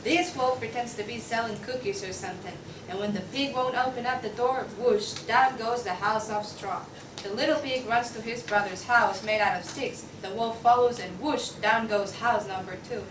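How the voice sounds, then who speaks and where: A woman's voice: a little high, normal speed, reverberant, natural voice. Girl doing argumentative impatient pig in a play reading, far from the mic, noisy room. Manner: insistent, exasperated. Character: impatient, argumentative pig juror certain of guilt. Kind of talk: student table read of a comic play.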